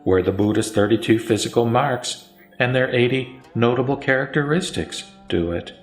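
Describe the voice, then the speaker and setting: A man voicing the Buddha as a serene teacher, preaching in a solemn, measured way in a scripted sutra audio drama. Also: medium pitch, close to an everyday voice. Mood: solemn teaching. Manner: solemn, measured sermon delivery. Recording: echoey voice over music.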